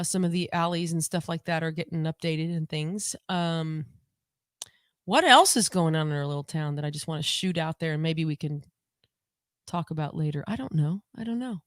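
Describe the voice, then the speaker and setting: A woman co-hosting a casual home livestream podcast on a desk mic, talking in a rambling, chatty way and repeating herself, her voice lower than usual today. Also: a bit bright, smooth, no echo, clean studio sound. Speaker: podcast co-host.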